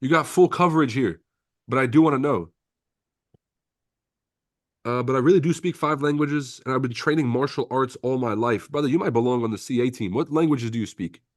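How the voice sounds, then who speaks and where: A man's voice: mid-range voice, brisk, no room sound, studio quality. A man from Lebanon, French his first language, hosting a casual trading livestream, reading viewer comments with brash, profane banter and frequent laughter.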